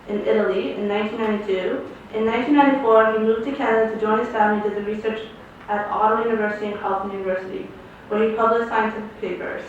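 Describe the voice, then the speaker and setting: A woman's voice: fairly high pitch, a little hiss or hum. A woman presenting an awards ceremony, reading a formal introduction of the honoree aloud. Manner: formal reading, loud announcing. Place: large conference hall. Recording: distant, echoey, dull hall sound.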